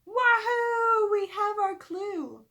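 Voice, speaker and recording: A woman's voice: very high-pitched, very slow, bright, forceful tone, voice pushed up, heavily acted. A woman voicing curious, eager young girls in a storybook read-aloud.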